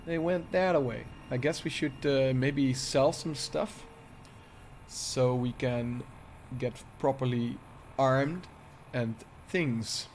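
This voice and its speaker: Slow, musing man streaming a game. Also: mid-range voice, a little mellow, slight background noise. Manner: unhurried, musing to himself. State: sick with a cold, sniffling. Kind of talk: casual let's-play commentary.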